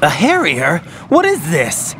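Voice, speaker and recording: A man's voice: fairly high pitch, huge pitch swings, slow, a bit bright, a little hiss or hum, exaggerated voice. An actor playing a rattled young male soldier in a video game cutscene, anxious and questioning. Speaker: infiltration operative.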